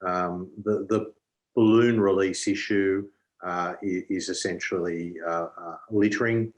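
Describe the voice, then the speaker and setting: A man answering as an election candidate, in a halting, hesitant way. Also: sits low, fairly even intonation. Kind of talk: answering questions at a candidate forum. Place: online video call.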